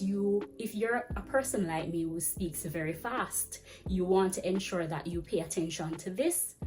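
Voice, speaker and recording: A young woman giving chatty, fast-paced teaching advice to camera in a small indoor room. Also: fairly low pitch. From Jamaica. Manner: chatty, fast-talking, animated with hand gestures. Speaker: vlogger and ESL teacher. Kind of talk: casual advice vlog. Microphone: camera framing head to chest.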